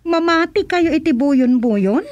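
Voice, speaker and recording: A woman's voice: high voice, animated, unhurried, lo-fi sound, loud music behind the voice. A woman playing a reluctant, grumbling young daughter in an Ilocano radio comedy drama, whiny and complaining.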